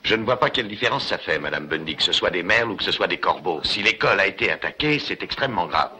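A man's voice: low-pitched, animated, sharp tone, slight background noise, heavily acted. A man dubbing a stern, insistent middle-aged man in a film. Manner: insistent, brisk. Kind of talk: dubbed film dialogue.

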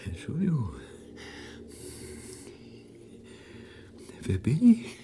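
A woman playing an innocent, bewildered newborn whale in a radio drama, curious and halting as it searches for words. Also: low-pitched, huge pitch swings, dark tone, slight background noise. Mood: bewildered wondering.

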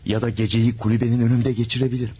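A man's voice: mid-range voice, little pitch movement, rapid-fire, dark tone, breathy edge, dull audio, close to an everyday voice. An actor playing an infatuated married man in a radio drama, pleading and overwrought in his passion. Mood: impassioned. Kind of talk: 1970s-style radio drama acting.

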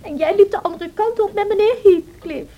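Very high, plaintive teenage girl. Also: mellow, lo-fi sound. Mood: accusing. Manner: halting, plaintive, tearful. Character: lovestruck, aggrieved, tearful eighteen-year-old sheltered girl. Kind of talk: old Dutch radio play acting.

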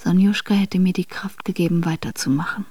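A woman playing a devoted young exiled noblewoman in a radio drama, reading her letters in a measured, intimate and formal way. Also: fairly low pitch, steady tone, darkish tone, slightly rough, no echo. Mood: grieving, weary.

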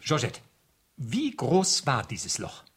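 An actor in a 1970s radio play playing a sly, falsely polite blackmailer with an insinuating manner. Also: male voice, medium pitch, wildly swooping pitch, slow, husky, full character voice. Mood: startled, probing. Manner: insinuating, mock-courteous, hesitant when probing.

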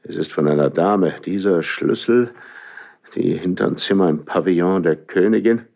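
An actor playing a suspicious, brooding older king in a classical radio drama, speaking in a grave, imperious, halting way with a gravelly voice. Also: deep voice, a little mellow, muffled recording. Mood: agitated, suspicious. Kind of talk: classical radio stage acting.

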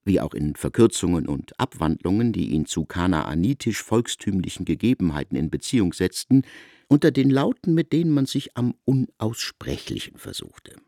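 Learned narrator of a biblical epic, deep gravelly voice, big melodic swings, deliberate phrasing. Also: male voice, sing-song, warm tone, no room sound, studio quality, full character voice. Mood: erudite, expressive. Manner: eloquent, deliberate phrasing, essayistic. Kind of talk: literary radio play narration.

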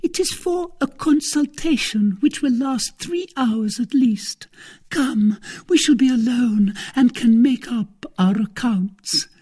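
High, breathy, pleading wife. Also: male voice, takes it slowly, a little mellow, heavily acted. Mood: coaxing. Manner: pleading, apologetic, wheedling. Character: pleading, flustered middle-class wife, breathy and anxious.

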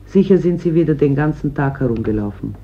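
An actress playing a stern, worried Spanish mother in a radio play, husky and plainly reproachful. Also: low voice, lively intonation, fairly quick, mellow, full character voice. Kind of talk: German radio play acting. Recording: dull old radio recording.

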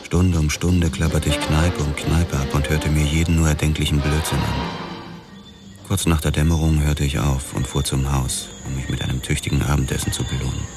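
An actor narrating as a laconic, hard-boiled detective in a radio play. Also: male voice, extremely low pitch, flat delivery, moderate pace, over loud music. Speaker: first-person narrator, detective.

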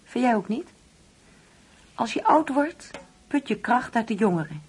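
An actress playing a wistful, uneasy older mother in a Dutch radio play, speaking hesitantly and brooding, at times hushed. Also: fairly high pitch, animated, unhurried, a little hiss or hum. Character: wistful, uneasy ageing mother grieving an absent son.

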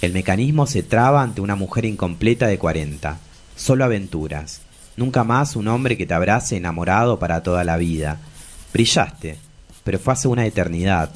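A man's voice: low voice, expressive, moderate pace. A man playing a bitter, fallen travesti diva in her forties, delivering solemn dramatic monologues with an Argentine accent. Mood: bitter lament. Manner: solemn, theatrical, imperious.

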